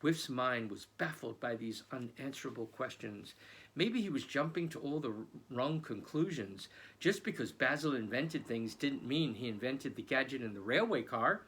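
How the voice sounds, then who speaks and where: A male children's book author reading his own novel aloud in an expressive storytelling manner. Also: medium pitch, moderate pace, breathy edge.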